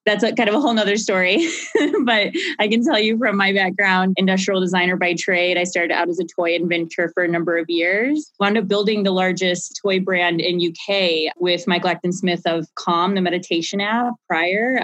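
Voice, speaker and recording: Low-voiced woman telling her story in a dry, close sound. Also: fairly even intonation, brisk, a bit bright, no room sound, dull audio. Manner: laughs often, rambling storyteller. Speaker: co-founder and guest. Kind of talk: casual interview answer.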